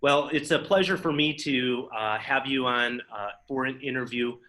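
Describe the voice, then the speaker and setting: A man hosting an interview, friendly and relaxed, with a few fillers. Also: mid-range voice, normal speed. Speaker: interview host. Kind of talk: conversational interview.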